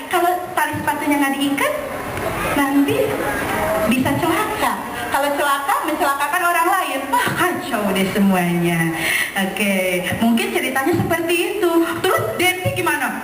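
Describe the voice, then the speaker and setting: A woman with a high-pitched voice works as a live storyteller for children. She is playful and exaggerated, often turning to her listeners with coaxing questions. The sound is roomy and echoing, with noise around her. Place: echoey public venue at a live event. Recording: echoey venue, clear background noise.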